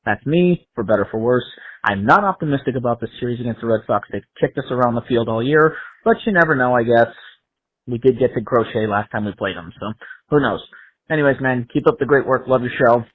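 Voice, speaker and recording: A man's voice: medium pitch, fast, husky, dry recording. An American man from Southern California leaving a casual sports fan's opinion on a phone voicemail. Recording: tinny, muffled phone voicemail.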